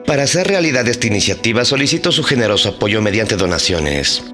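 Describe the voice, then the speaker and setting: A man narrating a scripted mystery documentary as host, in a measured, formal and dramatic storytelling manner. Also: lowish voice, animated, sharp tone, faint music behind.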